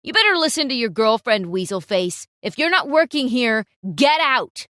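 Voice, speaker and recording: A woman voicing a rude, insulting male fashion photographer who shouts and sneers at people. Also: on the low side, lively intonation, normal speed, no echo, clean studio sound, pitched below the performer's own voice, close to an everyday voice. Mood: sneering.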